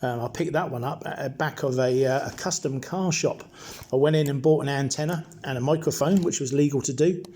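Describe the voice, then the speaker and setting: A middle-aged or older man narrating a nostalgic retrospective in an informal, measured explaining way as a hobbyist presenter. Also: medium pitch, mellow.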